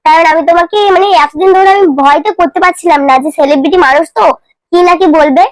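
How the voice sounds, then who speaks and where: A woman speaks in a very high voice at a fast clip, as a teasing prank caller. The recording is dry, with little room sound. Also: dry recording. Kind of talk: casual teasing phone chat.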